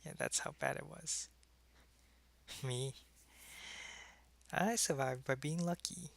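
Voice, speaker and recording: A man acts out an old-fashioned male vampire with a creaky, gravelly edge and a bright, crisp tone. He is wistful and friendly, speaking softly and casually as if in an intimate ASMR roleplay. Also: fairly high pitch, heavily acted. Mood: wistful, reminiscing. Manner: unhurried, casual, soft-spoken, often dropping into whispers.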